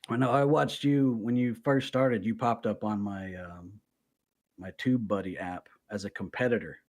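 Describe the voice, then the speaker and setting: A man from Florida co-hosts a casual podcast, friendly and eager, often restarting his sentences. His voice sits on the low side. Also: steady tone, moderate pace, a little mellow. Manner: friendly, enthusiastic, stammering restarts.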